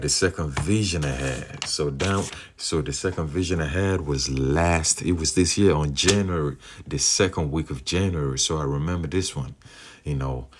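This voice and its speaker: Man, super deep low voice, earnest, rambly, lots of "you know". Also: breathy edge. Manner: earnest, many fillers, slow drawn-out phrasing. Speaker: believer sharing dream visions. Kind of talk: rambling personal testimony.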